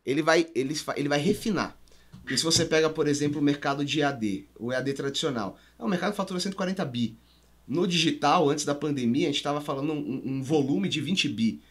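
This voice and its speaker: A Brazilian man speaks as a podcast guest, explaining business in conversation. His voice sits a little high and moves very quickly. He teaches as he talks, with emphasis and rhetorical questions.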